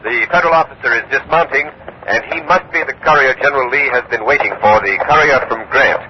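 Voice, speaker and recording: An actor playing an on-the-scene radio news correspondent, clipped, urgent and polite, in old live-news style. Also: male voice, medium pitch, quick pace, a crowd in the background, close to an everyday voice. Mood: hushed, watchful. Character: urgent on-the-scene male radio reporter at a battlefield. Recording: muffled, noisy old radio recording.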